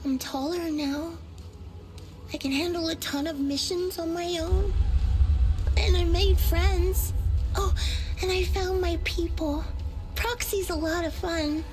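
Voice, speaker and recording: Very high girlish young pilot. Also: fairly even intonation, takes it slowly, long pauses, low-quality recording. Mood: wistful. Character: cheerful, emotional young girl pilot missing her senior. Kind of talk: video game voice acting.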